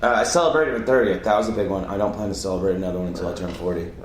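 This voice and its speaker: A man's voice: low voice, racing, crowd noise. A man of about thirty, talking in a laid-back, rambling way as a comedian co-host. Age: 30s. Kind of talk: casual chat. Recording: roomy echo with faint hiss.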